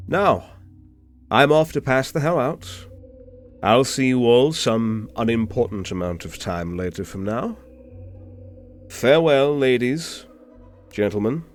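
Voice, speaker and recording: A man playing a refined, polite, world-weary information dealer with theatrical, hesitant pauses in a fantasy audio roleplay. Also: medium pitch, animated, soft background music. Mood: courteous, weary.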